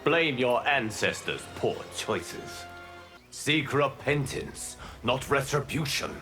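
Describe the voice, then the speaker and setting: A man playing a calm, wise, admonishing god in a fighting game, with a solemn, sage-like manner. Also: medium pitch, slow, soft background music. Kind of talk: fighting game dialogue acting.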